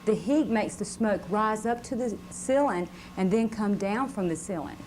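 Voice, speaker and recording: A woman's voice: mid-range voice, moderate pace, darkish tone. A woman firefighter giving a simple safety talk to children, prompting them with questions, on an old VHS recording. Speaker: firefighter, fire safety educator. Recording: old VHS, faint room noise.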